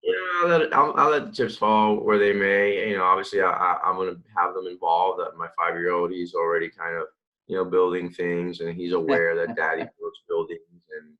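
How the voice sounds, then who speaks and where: A man in his thirties from the Dominican Republic, answering interview questions in a relaxed, rambling way with many fillers and restarts. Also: deep voice, fairly quick, highs cut off. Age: 30s. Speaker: interview guest, real estate developer.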